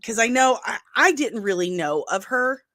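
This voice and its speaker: A woman's voice: on the high side, lively intonation, normal speed, a bit bright, dry recording, clean studio sound. A middle-aged woman with a nasal voice, hosting a casual pop culture news livestream, chatty and emphatic. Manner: chatty, emphatic, with asides and laughs.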